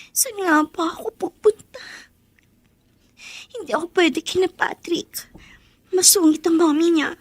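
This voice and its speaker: An actress playing a hurt, rebellious teenage runaway, stubborn and wary, in a Filipino radio drama. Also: female voice, high-pitched, dry recording. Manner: sulky, talking to herself.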